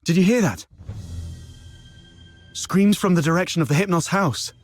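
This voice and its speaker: An actor playing a worldly, streetwise schemer in a video game cutscene, with a wry, conversational way of talking. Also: male voice, high voice, moderate pace, soft background music. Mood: alarmed.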